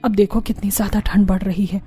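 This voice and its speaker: Mellow female voice, acted by a woman narrator, bossy older mother-in-law, scolding, stern, cartoon story. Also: mid-range voice, level tone, brisk, breathy edge. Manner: scolding, commanding.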